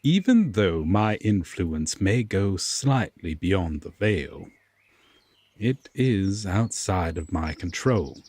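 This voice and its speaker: Haughty, reluctantly protective fae king played by a man: very slow, breathy, commanding delivery for fantasy audio drama. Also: sits low, big pitch swings, darkish tone, no echo, heavily acted. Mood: weary, firm. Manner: deliberate, commanding, drawn-out pauses, condescending.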